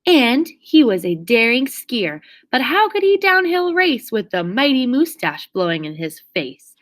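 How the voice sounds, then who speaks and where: Lady doing kids storytime, slowish, highish voice, stretches out the key words for drama. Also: sing-song. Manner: theatrical, drawn-out emphasis on key words. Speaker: storybook reader. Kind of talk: expressive children's read-aloud narration.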